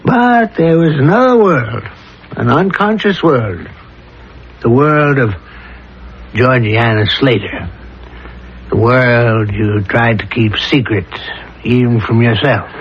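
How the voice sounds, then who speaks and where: An actor playing a calm, insistent psychiatrist with a measured, deliberate delivery in a 1970s radio drama. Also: male voice, medium pitch, breathy edge. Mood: insistent, methodical. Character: calm, probing male psychiatrist pressing his patient with theories. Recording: dull lo-fi 1970s radio recording.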